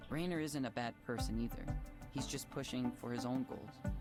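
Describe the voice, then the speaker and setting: A man acts out a young male chief engineer in a visual novel game, earnest and conflicted. He speaks reflectively and apologetically, in a natural, middle-pitched voice. Music plays clearly behind him. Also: normal speed, lightly acted. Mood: resigned, apologetic. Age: young adult.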